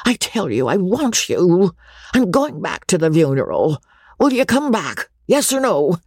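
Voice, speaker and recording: A woman voicing a gruff, blustering old country baronet who pleads insistently in rustic, ungrammatical speech, in an audiobook. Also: medium pitch, big pitch swings, measured pace, darkish tone, dry recording, clean studio sound, voice pushed up, full character voice.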